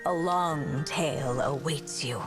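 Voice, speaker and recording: Slow, tender, low-voiced storyteller woman. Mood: tender, wistful. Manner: tender, measured, wistful. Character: gentle, sorrowful woman storyteller bidding a tender farewell. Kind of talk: scripted video game dialogue, solemn recitation. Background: loud music.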